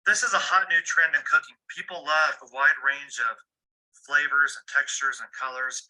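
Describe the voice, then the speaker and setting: A man's voice: medium pitch, sharp tone, rough recording. A man enthusiastically demonstrating a product in an upbeat video.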